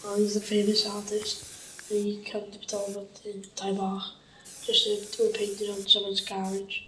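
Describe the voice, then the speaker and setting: A woman's voice: medium pitch, completely flat delivery, normal speed. A young woman giving her opinion in casual conversation. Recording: roomy, distant, faint noise.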